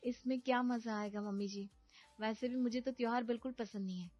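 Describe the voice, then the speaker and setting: A cranky young bride who can't stand festivals, played by a woman, high-pitched and snappish in a cartoon story. She complains sharply over a dull, lo-fi, dry recording with faint music underneath. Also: fairly even intonation, a little fast, a little mellow, no room sound, natural voice. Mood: dismissive.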